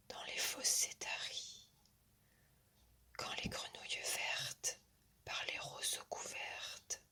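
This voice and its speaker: A woman whispers a poem for ASMR in a crisp, very bright, breath-only voice. She moves extremely slowly, setting down each short phrase softly and with care. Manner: soft, deliberate whispering in short measured phrases. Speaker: ASMR poetry reader. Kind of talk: whispered ASMR reading of classic verse.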